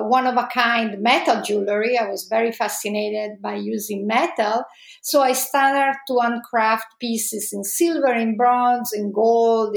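A middle-aged woman with an Italian accent, answering interview questions with many pauses and fillers. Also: fairly high pitch, vocal fry, roomy sound. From Italy.

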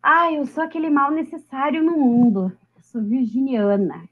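A woman in her late thirties is chatting on a podcast, her voice sitting fairly high. She jokes at her own expense and laughs easily. The recording is dull and lo-fi. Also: fairly even intonation, normal speed. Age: 30s. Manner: chatty, self-deprecating, laughs often. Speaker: podcast guest. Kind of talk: casual interview.

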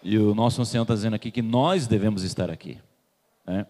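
A man's voice: lowish voice, fast talker, warm tone. A man from Salvador, preaching a sermon in a church and putting questions to the congregation. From Salvador, Bahia, Brazil. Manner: questions the congregation and waits for replies. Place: church hall. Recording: long hall reverb.

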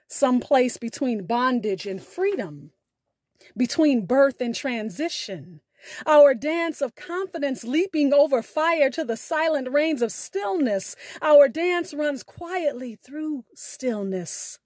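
A woman podcast host giving a scripted, poetic address in a deliberate, measured delivery. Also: high voice, a little mellow, dry recording, clean studio sound. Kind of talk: scripted podcast sign-off and poetry recitation.

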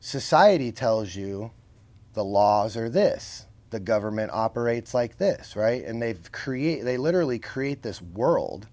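A man's voice: medium pitch, expressive, a little slow, darkish tone. Earnest man explaining, keeps asking "right?". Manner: earnest, explains with rhetorical questions and tag "right?". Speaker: podcast guest. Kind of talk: casual conversational interview.

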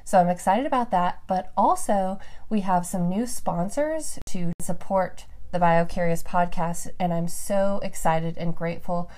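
A woman's voice: fairly low pitch, a little slow, hardly any pauses, a little mellow. A woman hosting a podcast, talking upbeat and enthusiastic while stuffed up from allergies. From Nevada, USA. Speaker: podcast host. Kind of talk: solo podcast talk.

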